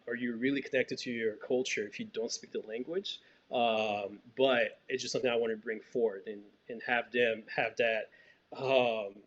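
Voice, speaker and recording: A man's voice: mid-range voice, fairly bright tone, dull audio, a little hiss or hum. A man from Haiti, a filmmaker, answering interview questions thoughtfully, with many fillers and restarts.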